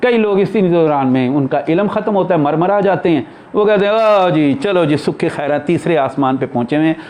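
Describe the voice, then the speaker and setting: Guy, pretty high voice, teaching spiritual stuff like a chatty lecture, asks rhetorical questions. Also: animated, normal speed, darkish tone. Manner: explanatory, conversational, with rhetorical questions. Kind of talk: religious discourse, answering questions.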